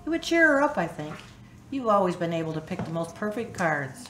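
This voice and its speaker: A woman's voice: on the low side, sing-song, fairly quick, a bit bright. A woman acting a caring, reassuring older sister in a radio drama, on a roomy, noisy recording.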